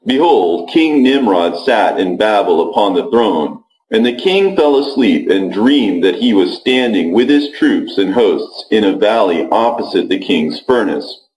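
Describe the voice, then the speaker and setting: Male scripture narrator, lowish register, formal and solemn read-along delivery, audibly echoey space. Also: darkish tone.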